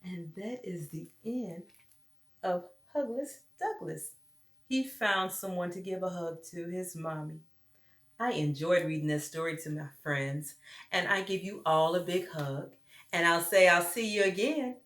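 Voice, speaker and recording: A woman's voice: fairly low pitch, unhurried, fairly bright tone. A woman reading a story aloud to young children, expressively and with dramatic emphasis, addressing them as friends. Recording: roomy echo.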